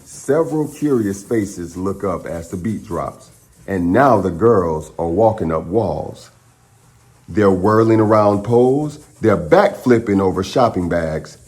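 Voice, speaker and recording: Low-voiced man reading a picture book expressively. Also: lively intonation, darkish tone. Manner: dramatic, pausing phrasing, speaks to young listeners. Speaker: children's storytime reader and channel host.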